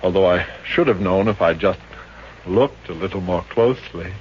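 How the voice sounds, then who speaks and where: A man's voice: low voice, normal speed, lightly acted. A man playing a self-important, easily flustered psychiatrist, stammering and pompous, in 1950s radio comedy style. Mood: flustered, indignant. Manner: hesitant, stammering, indignant pomposity. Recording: dull, noisy old-time radio recording.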